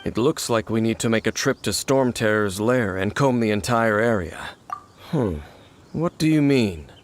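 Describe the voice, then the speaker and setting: An actor playing a grave, guarded warrior in an anime-style video game, speaking in a measured, formal way. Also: male voice, lowish voice, lively intonation, a little hiss or hum, lightly acted. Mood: analytical. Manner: measured, formal, serious, explanatory. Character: grave, guarded male warrior, knowing and solemn. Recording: studio voice over background music. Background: game music.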